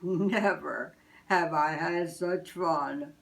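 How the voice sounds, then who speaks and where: A busy old Santa Claus from a children's storybook, acted out by a woman. He speaks slowly and heartily, jolly at heart but a little wistful. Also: sits low, gravelly, heavily acted. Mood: delighted.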